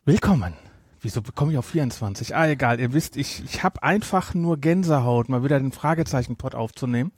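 A man hosting a podcast in casual chat, often halting and correcting himself as he goes. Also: medium pitch, lively intonation, a little mellow, husky, dry recording, studio quality. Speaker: podcast host.

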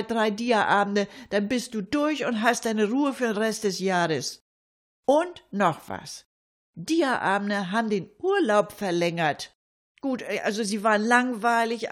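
A woman's voice: medium pitch, natural voice. A woman playing a chatty older lady in a comic monologue, rambling colloquially and addressing her listeners with mock indignation. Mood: chatty, wry.

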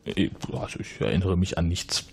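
A man's voice: sits low, moderate pace, creaky, a little mellow, breathy edge, heavily acted. A man playing an evasive male suspect in a role-playing game, bluffing earnestly and repeating simple excuses. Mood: stubbornly evasive.

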